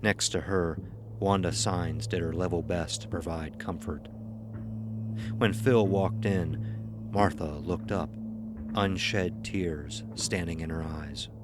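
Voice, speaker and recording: A man's voice: sits low, slow, music in the background. A man reading a scripted horror story as narrator, on a noisy recording.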